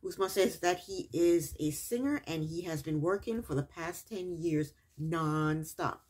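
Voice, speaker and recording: A woman's voice: low-pitched, measured pace, darkish tone, breathy edge. A woman recapping a reality TV episode in a casual, chatty way, with sarcastic asides and repeated punchlines.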